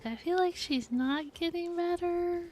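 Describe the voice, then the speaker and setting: A woman's voice: extremely high pitch, a little slow, darkish tone. A woman streaming a video game with casual live commentary. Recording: game music behind the voice. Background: video game music.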